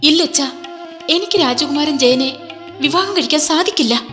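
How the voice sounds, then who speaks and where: A proud young warrior princess from a cartoon, firm and dignified. Her voice sits a touch high, and soft music plays behind it. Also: normal speed, big-room sound. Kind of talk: dubbed cartoon acting.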